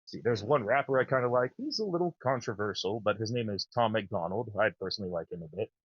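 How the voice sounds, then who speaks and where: Man in his thirties, low voice. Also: expressive, fast, breathy edge, no room sound, muffled recording. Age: 30s. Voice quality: naturally low voice. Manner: rambling, enthusiastic. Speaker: podcast host. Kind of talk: casual chat.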